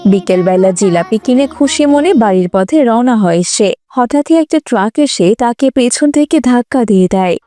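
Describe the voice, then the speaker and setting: Female narrator for scripted moral cartoon: high pitch, dry studio sound. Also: lively intonation, moderate pace, hardly any pauses, mellow, no room sound, faint music behind.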